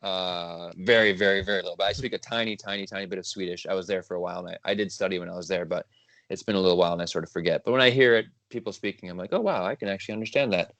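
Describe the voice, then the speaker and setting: Man, low voice, guest composer, casual rambling chat, laughs easily, dry close sound. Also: racing, a bit bright, no room sound.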